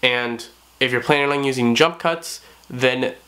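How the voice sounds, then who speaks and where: Young man with a hoarse voice, rambling. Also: medium pitch, a little slow, pushed and bright. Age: young adult. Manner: rambling, many fillers and "like"s. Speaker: vlogger. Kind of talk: casual talk to camera. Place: small bedroom, indoors. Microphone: camera on tripod at close range.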